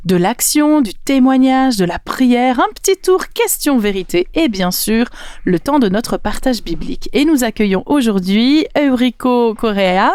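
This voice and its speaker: A woman's voice: on the high side, animated, unhurried, a little mellow, clean tone, dry recording. A woman presenting a radio worship show in a studio, welcoming and addressing listeners directly in a chatty, prepared style.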